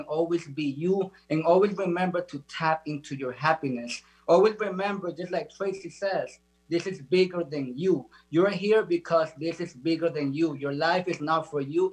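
A man's voice: fairly high pitch, rough recording. A man from the Dominican Republic giving earnest, uplifting motivational talk as a show guest. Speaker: guest, motivational speaker and author.

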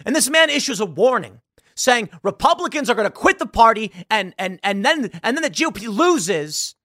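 A man in his late thirties with a high, very lively voice, heated and sarcastic as he runs through political commentary. The sound is dry and close, with no room around it. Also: moderate pace, no room sound. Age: 30s. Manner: impassioned, sarcastic, mocking quoted voices.

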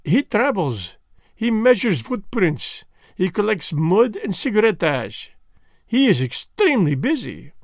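A man's voice: high-pitched, big pitch swings, measured pace, no echo, clean studio sound, voice pushed up, full character voice. A man reading the part of a fussy, vain elderly Belgian detective who speaks precisely and drops French words. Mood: gently ironic.

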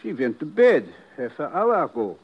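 An actor playing a formal, careful plantation owner in a 1950s radio drama. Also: male voice, on the high side, big pitch swings, normal speed, slightly rough. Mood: formal, resolved. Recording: dull, band-limited old radio broadcast.

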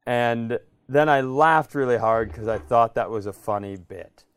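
Male podcast co-host, mid-pitched and closely recorded, animated storyteller who swears and acts out both sides of exchanges. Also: measured pace, smooth, no echo. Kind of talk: casual comedic storytelling.

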